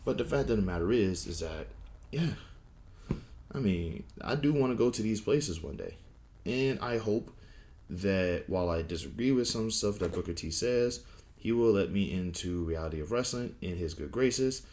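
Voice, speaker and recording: A young man talks through his opinions in a casual vlog, and his voice sits somewhat low. He speaks with a lisp and a stutter. He rambles, restarts his sentences and leans on "you know" and "like" as he goes. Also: expressive, moderate pace, a little mellow. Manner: rambling, many fillers and restarts. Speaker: wrestling vlogger.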